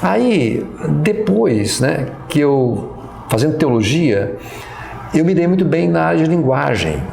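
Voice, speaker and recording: Gravelly Brazilian man, hesitant storyteller. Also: on the high side, very animated, slow, a little mellow, reverberant. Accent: Brazilian Portuguese. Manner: hesitant, many fillers and restarts, reflective. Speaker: pastor and professor interviewee. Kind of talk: reminiscing interview.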